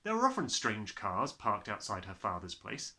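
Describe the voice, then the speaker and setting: A male audiobook reader narrates a children's story expressively. His voice is strikingly bright and forceful, with animated, wide-ranging intonation. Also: on the low side, normal speed.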